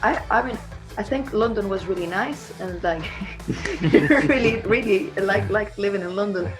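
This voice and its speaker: A woman's voice: medium pitch, expressive, unhurried, music in the background. A woman chatting informally as an interviewed band member, in a loose, conversational way. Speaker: band singer and songwriter being interviewed. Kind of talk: casual chat.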